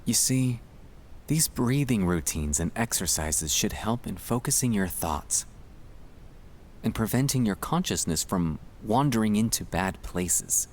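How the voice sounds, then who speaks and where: A man speaking softly and soothingly, often slipping toward a whisper, as he gently guides breathing in a sleep-aid ASMR style. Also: on the low side, expressive, a little slow, a little hiss or hum. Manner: soft-spoken, soothing, often dropping into whispers. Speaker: ASMR creator guiding breathing exercises.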